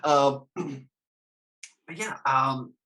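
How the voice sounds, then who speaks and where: A man's voice: medium pitch, extremely slow, bright, forceful tone. A man hosting a casual discussion show in a wry, joking manner, often chuckling as he talks. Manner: wry, joking, chuckles through his talk. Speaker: host. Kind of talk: casual chat.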